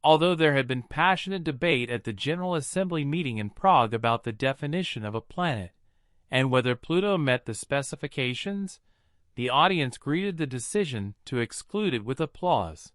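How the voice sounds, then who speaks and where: A man reads a prepared passage aloud for exam practice. His pitch is mid-range for a male voice, and the recording is dry, with no room sound. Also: lively intonation, normal speed, a bit bright, dry recording. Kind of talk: read-aloud of a formal passage.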